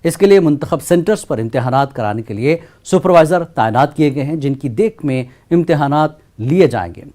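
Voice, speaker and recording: A man's voice: on the high side, darkish tone. A man with an Indian accent formally reading a scripted news bulletin as anchor.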